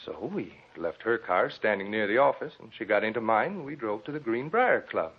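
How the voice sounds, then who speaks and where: An actor playing a defensive, bitter murder suspect in an old radio drama, evasive and melodramatic. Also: male voice, lowish voice, big pitch swings, brisk, slightly rough. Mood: guarded. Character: defensive, bitter husband who murdered his sick wife. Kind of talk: 1940s radio drama acting. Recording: muffled old radio recording.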